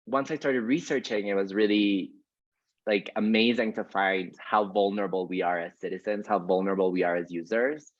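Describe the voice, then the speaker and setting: A man speaking as a guest in a casual, earnest, reflective interview style. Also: lowish voice. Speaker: guest playwright and actor. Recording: very roomy, dull lo-fi audio.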